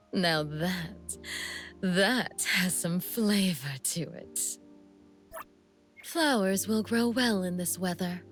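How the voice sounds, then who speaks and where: Slow, seductive assassin woman. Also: fairly low pitch. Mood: languid menace, then soft, alluring. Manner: languid, teasing, deliberate, sometimes breathy near-whisper. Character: seductive, sadistic female assassin, coolly amused and menacing. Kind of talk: video game character voice lines.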